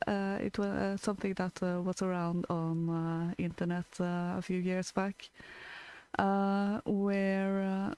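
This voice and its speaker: Slow, halting Norwegian woman, clear voice. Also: medium pitch, a little mellow. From Norway. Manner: halting, hesitant delivery. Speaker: co-host. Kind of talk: casual chat with anecdotes.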